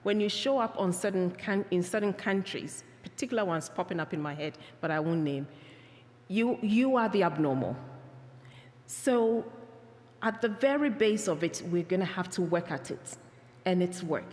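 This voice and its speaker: Ghanaian woman speaker, lowish voice, earnest and reflective, church hall reverb. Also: lively intonation, a little mellow. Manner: earnest, reflective, with halting restarts. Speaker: peacebuilding speaker. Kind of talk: talk with Q&A answers.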